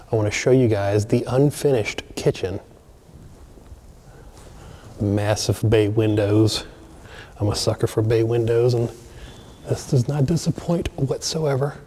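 Guy filming himself in a big empty house, excited and chatty, warm low-key tone. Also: breathy edge. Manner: excited, chatty, addresses viewers. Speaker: abandoned places explorer. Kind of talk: casual walkthrough narration. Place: large empty mansion, indoors.

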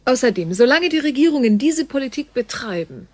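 A woman playing an informal, friendly and frank Earth woman in a science fiction radio play, with a halting, colloquial delivery. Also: high-pitched, very animated, a little mellow, no room sound. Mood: sympathetic, frank.

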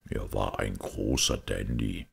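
Man as a gravelly, pensive priest detective. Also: very deep voice, pitched below the performer's own voice, heavily acted. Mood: thoughtful. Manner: measured, deliberate, explanatory.

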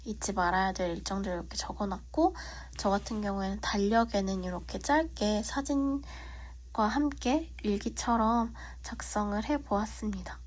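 A young woman with a cold and a husky, slightly whispery voice, politely walking viewers through a planner in a relaxed vlog with frequent fillers. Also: medium pitch, normal speed. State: has a cold. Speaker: vlogger. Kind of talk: casual tutorial walkthrough. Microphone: phone.

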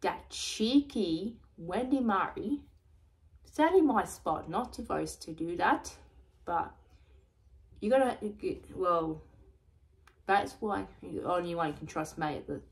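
Low, hoarse woman rambling. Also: expressive, takes it slowly, poor audio. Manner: repetitive, loose, restarts and repeats herself often. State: going croaky, hoarse. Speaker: vlogger. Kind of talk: rambling personal vlog.

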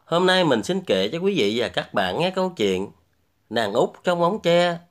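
A man's voice: fairly high pitch, big pitch swings, measured pace. A man hosting and narrating a fairy tale from a script as a storyteller. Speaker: storyteller, host.

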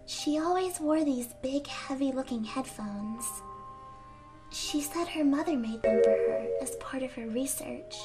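Soft, high-voiced gentle teenage girl played by a woman. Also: unhurried. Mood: wistful. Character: gentle, frail, wistful teenage girl, a worried friend. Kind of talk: fan-dub video game voice acting. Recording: amateur fan dub over game music. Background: game music.

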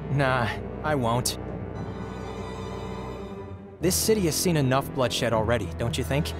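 An actor voicing a calm, merciful young knight with an easygoing manner in a video game. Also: male voice, mid-range voice, a little slow, music in the background, natural voice. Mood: calm, weary.

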